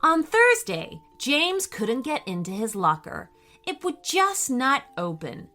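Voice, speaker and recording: A woman narrating a children's bedtime story in an expressive, deliberate way. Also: sits high, soft background music.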